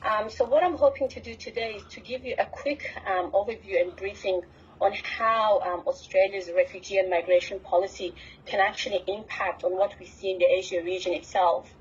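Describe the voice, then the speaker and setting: Muffled, husky woman giving an online presentation. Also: medium pitch, steady tone, fairly quick. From Afghanistan. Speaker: refugee advocate, network executive director. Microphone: computer on an online call. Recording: muffled lo-fi computer call audio.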